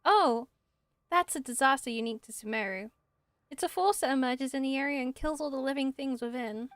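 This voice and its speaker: Woman playing an earnest high-voiced young forest ranger. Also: a little fast, no room sound, close to an everyday voice. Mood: concerned, explaining.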